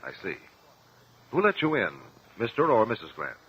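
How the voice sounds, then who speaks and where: A man's voice: mid-range voice, huge pitch swings, moderate pace. An American man playing a courteous, probing attorney who questions witnesses formally in a mid-century radio drama. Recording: dull, lo-fi old radio recording.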